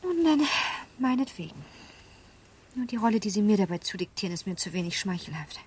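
A woman's voice: medium pitch, very animated, brisk, a little mellow, slight background noise, natural voice. An actress in a German radio play playing a spirited, coquettishly reproachful young married noblewoman with a pert, genteel manner. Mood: indignant, teasing.

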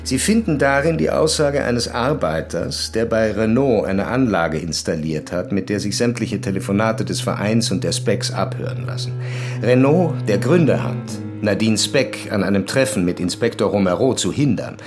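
An actor playing a determined, grim police commissaire in a crime radio drama, speaking deliberately and formally. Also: male voice, lowish voice, lively intonation, soft background music, natural voice. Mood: formal, insistent.

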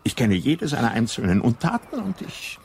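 An actor playing a dry, ironic British police inspector in a radio drama, full of sly understatement. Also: male voice, medium pitch, very animated, moderate pace, a little mellow, slight background noise. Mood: sardonic. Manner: wry understatement, mock-casual.

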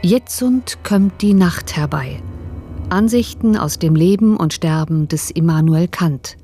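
A woman's voice: on the low side, a little slow, mellow, faint music behind. A woman reading a scripted radio announcement of credits in a composed, formal manner.

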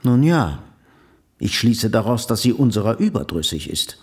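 A man playing a dry, genteel gentleman with a formal, wry manner in a German radio drama. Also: lowish voice, big pitch swings, normal speed, breathy edge. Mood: dry, resigned.

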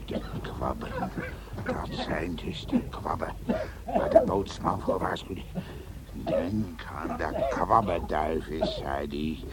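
An actor playing a grave, adventurous captain in a Dutch radio play, with breathy, dramatic delivery. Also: male voice, rumbling low, slow. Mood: ominous. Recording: noisy radio play soundscape.

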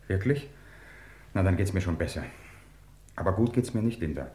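A man's voice: sits low, lively intonation, moderate pace, dark tone, a little hiss or hum. An actor playing an accused man in a radio crime drama, urgent, sardonic and exasperated. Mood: troubled, bitter.

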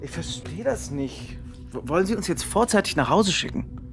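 An actor playing a flustered, defensive young German man who stammers when nervous, with soft music under the scene. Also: high-pitched, animated, moderate pace, gravelly.

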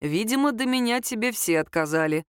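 A woman reader voicing a nonchalant, teasing young man in audiobook dialogue, calm, lazy and deadpan. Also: a little high, fairly quick, clear, resonant tone, no echo, clean studio sound, close to an everyday voice. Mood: lazy, smirking.

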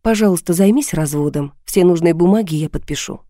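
A woman's voice: fairly low pitch, brisk, dark tone, no room sound, studio quality, lightly acted. A woman voicing a bitter, mocking wife in a failing marriage, with a cutting, sarcastic manner. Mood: calm.

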